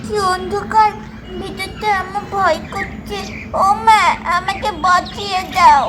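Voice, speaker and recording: A woman voicing a clingy, sleepy little boy in a doll cartoon, whining in drawn-out words. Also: very high-pitched, fairly even intonation, heavy background noise. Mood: whining, scared. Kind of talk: doll-play cartoon story acting.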